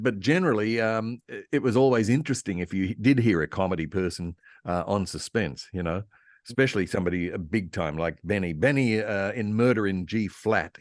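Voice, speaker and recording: A man speaking as a guest historian in a casual, informed discussion, with halting, rambling phrasing and repeated words. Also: fairly low pitch, no echo, studio quality. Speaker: panel guest, radio historian.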